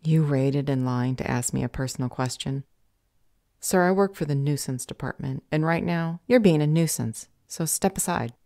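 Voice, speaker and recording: A woman playing a curt, officious, unhelpful clerk at a service window in an audio roleplay, deadpan and dismissive. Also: sits low, moderate pace, no room sound, clean studio sound.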